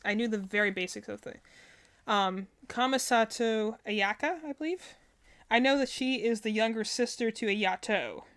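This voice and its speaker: A woman streamer giving casual, rambling reaction commentary on video game trailers, thinking aloud as she goes. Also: fairly high pitch.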